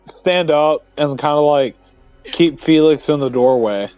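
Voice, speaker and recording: A man's voice: fairly high pitch, a little slow, clear, resonant tone, highs cut off, faint music behind. A man playing a tabletop game, chatting casually and describing what his character does. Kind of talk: casual role-play chat.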